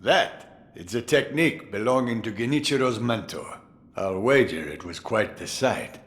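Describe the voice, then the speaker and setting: An actor playing a crafty, jovial old samurai sword master in a video game, musing theatrically with drawn-out amusement. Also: male voice, lowish voice, animated, fairly bright tone. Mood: nostalgic, amused. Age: older. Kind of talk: dramatic video game voice acting.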